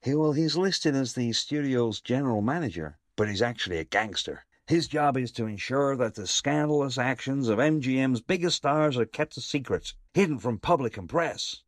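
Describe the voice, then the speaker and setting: A man's voice: medium pitch, animated, normal speed, few pauses, no room sound, clean studio sound, close to an everyday voice. A man playing an exasperated, wry private investigator in an audio play. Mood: wry, businesslike.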